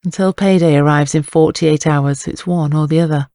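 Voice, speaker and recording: A man's voice: a little high, steady tone, moderate pace, dark tone, slight background noise. A man reading scripted dramatic narration for an audiobook, with a wry, wordy storytelling manner.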